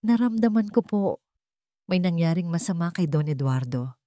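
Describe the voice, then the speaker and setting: A woman's voice: lowish voice, animated, moderate pace, warm tone, no echo, clean studio sound, natural voice. A woman with a Filipino accent voicing a frightened, urgent young girl in an audio story. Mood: anxious, urgent. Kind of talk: audio story character lines.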